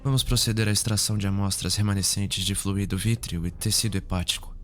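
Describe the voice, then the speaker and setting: A man playing a serious young forensic investigator in a Brazilian Portuguese ASMR roleplay, speaking formally, measured and clinical. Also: lowish voice, fairly even intonation, fairly bright tone, soft background music, lightly acted. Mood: clinical, focused.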